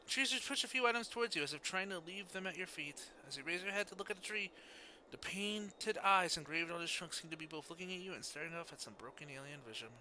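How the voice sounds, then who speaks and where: A man's voice: high voice, lively intonation, fast talker, pushed and bright, slight background noise, low-quality recording. A man hosting a casual game livestream, chatting and reading story text aloud. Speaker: video game streamer.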